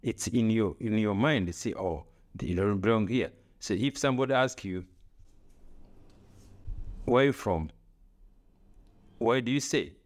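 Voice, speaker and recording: A middle-aged man from Africa talking in casual conversation, earnest and halting, with grammar and repeated phrases. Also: on the low side. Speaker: podcast co-host, father.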